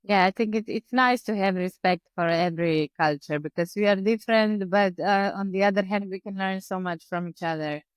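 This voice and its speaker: A woman hosting a podcast interview, asking halting questions with frequent pauses and fillers. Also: mid-range voice, clear, resonant tone, no echo. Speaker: podcast host.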